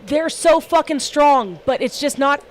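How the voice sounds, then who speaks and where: A woman's voice: sits high, a little slow, a little hiss or hum. A woman hosting a livestream panel, talking bluntly and emphatically and swearing constantly in casual rants. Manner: blunt, heavily profane, emphatic.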